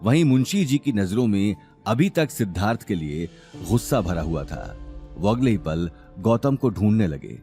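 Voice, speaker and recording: Low, animated male storyteller. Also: moderate pace, faint music behind. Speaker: story narrator. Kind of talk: dramatic scripted audio story narration.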